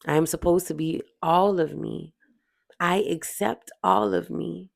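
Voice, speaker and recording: Meditation guide, mid-pitched and heavily creaky, soothing, repetitive affirmations with long pauses, close dry sound. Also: darkish tone, no echo.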